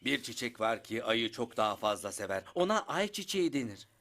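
A man's voice: mid-range voice, very animated, brisk, noisy background. A man voicing a friendly, knowledgeable grown-up insect in a children's cartoon dub, explaining things expressively. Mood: enthusiastic explaining.

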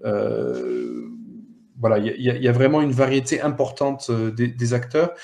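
A middle-aged man giving an explanatory talk over a video call on a headset, halting and repeating small words as he goes. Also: mid-range voice, lively intonation, a little mellow. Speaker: local economic development director, panellist. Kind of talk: explanatory webinar presentation. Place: indoor room, on a video call.

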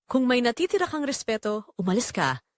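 A woman's voice: on the high side, big pitch swings, fairly quick, no echo, voice pushed up. A woman playing a frightened, pleading mother who stands up to a stalker in an audio drama. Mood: frightened, defiant.

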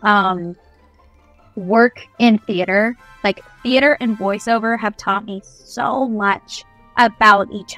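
A woman's voice: mid-range voice, a bit bright, low-quality recording. Young woman, interview guest, chatty and enthusiastic, casual delivery over background music. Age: young adult. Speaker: guest voice actor. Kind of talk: casual video interview, giving advice. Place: small indoor room, on a video call.

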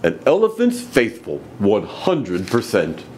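A man doing a gentle, earnest big elephant. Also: lowish voice, wildly swooping pitch, takes it slowly, slight background noise, full character voice. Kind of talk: storybook read-aloud.

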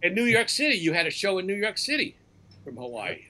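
A man's voice: high-pitched, sing-song, a little fast, bright, forceful tone. A man in his sixties chatting casually on a video call, laid-back and full of "you know". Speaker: panel guest. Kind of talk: casual chat.

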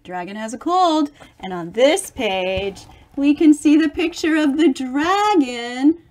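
A middle-aged woman reads a picture book aloud to children at an unhurried pace. Her voice sits high and swings widely in pitch. She is expressive and adds chatty asides to explain things. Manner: expressive, animated, explaining to young listeners. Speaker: storybook reader for children.

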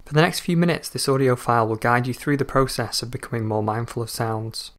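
A man calmly guiding a scripted meditation in a measured, instructional way. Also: mid-range voice, no room sound, studio quality. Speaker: meditation guide.

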